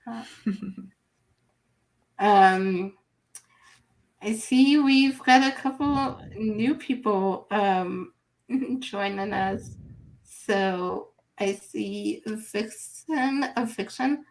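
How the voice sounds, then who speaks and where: Woman livestream host, slow and halting, gravelly fry in the voice. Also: mid-range voice, poor audio. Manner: unhurried, reads viewer chat aloud, halting. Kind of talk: hosting a live online interview.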